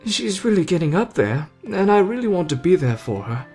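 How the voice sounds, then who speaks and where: A man playing a brash, excitable young hero in a dramatic, playful ASMR roleplay addressed to the listener. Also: high voice, brisk, soft background music, voice pushed up. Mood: eager, playful.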